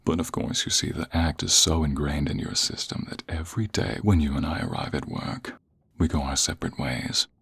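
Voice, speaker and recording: A man playing a smug, flirtatious office rival in an intimate ASMR roleplay, taunting in a deep, breathy, gravelly near-whisper. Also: a bit bright, full character voice. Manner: slow, deliberate, taunting, drawn-out phrases. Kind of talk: ASMR romantic roleplay, intimate second-person acting.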